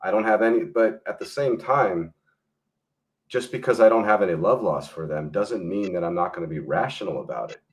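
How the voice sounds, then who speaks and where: A man's voice: sits low, lively intonation, a little fast. A man talking as a guest on a talk show, arguing bluntly and emphatically, swearing freely. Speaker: guest historian and filmmaker. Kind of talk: casual opinionated discussion.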